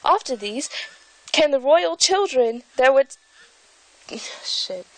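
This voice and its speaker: A woman's voice: high-pitched, lively intonation, slow pace, no room sound, rough recording. A young woman vlogging from home, rambling and self-conscious with frequent giggles, filmed on a phone held at arm's length. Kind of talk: casual rambling vlog.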